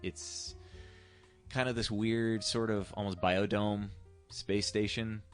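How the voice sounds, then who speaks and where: Deep-voiced man in halting casual chat. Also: level tone, faint music behind. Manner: hesitant, halting, profane. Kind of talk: casual chat, retelling a film plot.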